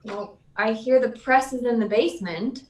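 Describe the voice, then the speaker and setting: An actress playing a brash, sarcastic young newspaper reporter in a 1940s-style radio drama, needling and skeptical, in a roomy distant recording. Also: female voice, fairly high pitch, normal speed, natural voice. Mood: scornful. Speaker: actor in a live radio play. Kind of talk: 1940s radio serial acting. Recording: roomy, echoing, off the mic.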